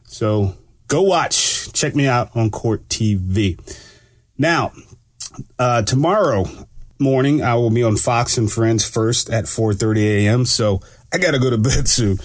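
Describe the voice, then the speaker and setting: A man hosting his own radio talk show, sounding a bit stuffy from allergies and talking in a relaxed, rambling way with lots of fillers. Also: medium pitch, a little slow, dry recording, clean studio sound. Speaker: radio show host and law enforcement analyst. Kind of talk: casual solo radio talk.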